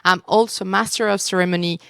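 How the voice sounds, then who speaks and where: A woman from Spain with a Spanish accent, a native Spanish speaker speaking on a live panel with a warm, slightly halting delivery. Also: mid-range voice, steady tone, creaky, smooth, dry recording, studio quality. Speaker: panelist, international speaker and coach. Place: convention stage.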